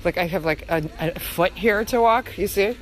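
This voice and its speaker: A woman's voice: fairly low pitch, normal speed. A woman vlogging casually to her followers in a candid, opinionated, emphatic way, on a noisy handheld recording. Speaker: vlogger filming social media stories. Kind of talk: casual chatty phone vlog narration. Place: on the go, around a tennis centre.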